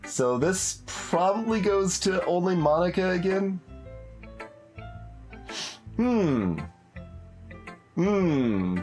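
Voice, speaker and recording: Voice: mid-range voice, very animated, very slow, pauses often, a little mellow. A video game streamer giving casual playthrough commentary, musing and hesitant as they think aloud. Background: game music.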